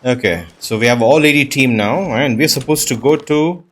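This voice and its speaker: A man's voice: medium pitch, moderate pace, fairly bright tone. A man giving casual commentary as he plays through a video game, talking through it in an everyday way. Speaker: gamer narrating a playthrough.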